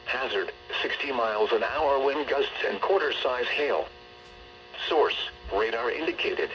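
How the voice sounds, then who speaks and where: Robotic man voice on weather radio reading storm alerts, formal and measured, medium pitch. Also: a bit bright, a little hiss or hum, rough recording. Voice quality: synthetic text-to-speech voice. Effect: weather radio broadcast. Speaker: automated weather radio announcer. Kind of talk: scripted emergency weather alert bulletin.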